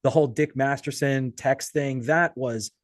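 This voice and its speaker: A man's voice: mid-range voice, steady tone, normal speed, no room sound. Sweary, rambling man in dry podcast chat. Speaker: podcast interviewer. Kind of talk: casual chat.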